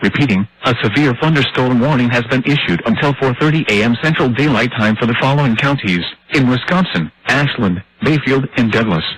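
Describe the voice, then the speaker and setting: A synthetic male voice reading formal emergency weather warnings in a measured, impersonal way over a radio broadcast. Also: medium pitch, fairly bright tone, husky, poor audio. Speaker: automated weather radio announcer. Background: radio static and hiss.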